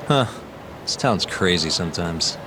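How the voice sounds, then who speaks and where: A man's voice: deep voice, expressive, measured pace, noisy background. An actor playing a cool, determined young man, terse and self-assured, in a video game cutscene. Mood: cool, curious. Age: young adult.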